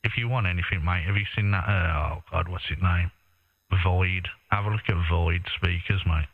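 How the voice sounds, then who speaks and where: A low-pitched British man chats casually over a narrow-band radio link. He is matey and colloquial, often tagging questions onto his remarks. The sound is thin, like a ham radio transmission. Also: steady tone, normal speed, creaky, no room sound. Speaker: amateur radio operator.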